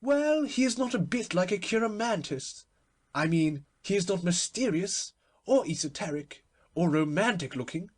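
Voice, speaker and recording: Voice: mid-range voice, expressive, normal speed, pitched above the performer's own voice, lightly acted. A performer voicing a gushing, witty, imperious society hostess in a theatrical, emphatic manner for a storybook reading. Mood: gushing, lively.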